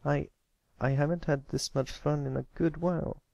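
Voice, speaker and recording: A man's voice: fairly high pitch, steady tone, normal speed, creaky, warm tone, no room sound, dull audio, pitched above the performer's own voice. A man voicing a shy young colt pony with a hesitant, stammering manner, in a storybook reading. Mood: nervous.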